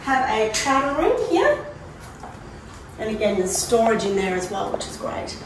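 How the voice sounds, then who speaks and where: Aussie woman showing round an apartment, chatty, higher voice, echoey and a bit far from the mic. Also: big pitch swings, a little slow. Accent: Australian. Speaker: presenter of a prize home tour. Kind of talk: casual walk-through tour narration. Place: walking through hard-surfaced apartment rooms. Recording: roomy echo, distant mic, background noise.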